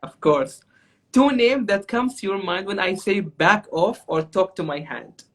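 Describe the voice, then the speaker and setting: A man's voice: high-pitched, lively intonation, a little slow. A man hosting an interview, upbeat and guiding the segments. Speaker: talk show host.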